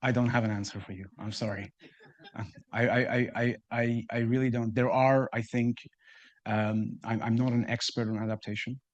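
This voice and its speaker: A man's voice: mid-range voice, little pitch movement, normal speed, muffled recording. A man presenting at a seminar and answering questions in a hesitant way, often stammering and repeating words as he goes. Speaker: research fellow presenting seminar. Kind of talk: seminar talk and audience Q&A.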